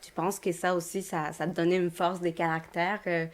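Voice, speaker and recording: A woman's voice: lowish voice, level tone, moderate pace. A woman with a Québécois French accent hosting a podcast, reading a scripted intro, then chatting with many fillers and restarts. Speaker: podcast host.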